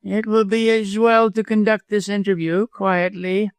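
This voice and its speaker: Guy doing a sneering weaselly lawyer for an audiobook, snarly and harsh, voice pushed way up high, dry sound. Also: little pitch movement, unhurried, smooth, no echo. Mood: sly, menacing. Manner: snarling, gasping, harsh, chuckling. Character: venomous, cowardly, sneering male lawyer villain.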